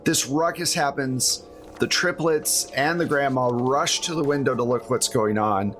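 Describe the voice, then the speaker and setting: A man's voice: medium pitch, measured pace, a bit bright, faint music behind. A man running a tabletop game, narrating casually as game master with a jokey streak.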